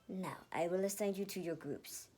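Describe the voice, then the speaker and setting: A woman voicing a mischievous teenage girl commander in a story, with a brisk, authoritative manner. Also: lowish voice, mellow, slightly rough. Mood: commanding. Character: mischievous, commanding sixteen-year-old female warrior commander.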